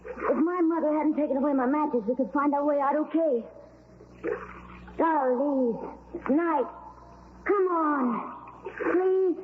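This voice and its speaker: A woman's voice: high voice, takes it slowly, long pauses. A woman playing a timid, frightened child, fretful and pleading, in 1940s radio acting style. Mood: scared. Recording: noisy tinny old radio recording.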